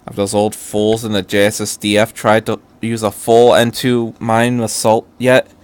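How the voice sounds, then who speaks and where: A man voicing a dry, older male military vice commander in a fan story, measured and authoritative. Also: lowish voice, completely flat delivery, smooth, natural voice. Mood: disdainful.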